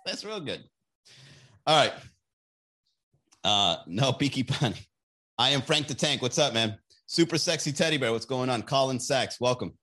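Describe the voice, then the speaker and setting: A man's voice: mid-range voice, moderate pace, bright, forceful tone. A man hosting a casual, profane live stream, joking loosely and chatting with viewers.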